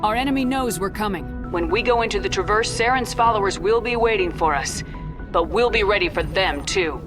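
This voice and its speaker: An actress playing a firm, resolute female starship commander in a video game, commanding and measured. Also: mid-range voice, natural voice. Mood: resolute, rallying. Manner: commanding, clipped, measured delivery. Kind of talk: video game cutscene acting. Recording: game music behind the voice.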